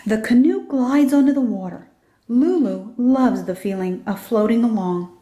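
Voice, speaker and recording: Woman, storybook reader, high-pitched, soft mellow tone, children's narration. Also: expressive, moderate pace. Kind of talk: children's story read aloud.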